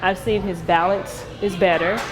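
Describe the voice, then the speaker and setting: A woman's voice: mid-range voice, steady tone, moderate pace, poor audio. Woman interviewee with music behind her. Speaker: interviewee, parent. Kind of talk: interview comments.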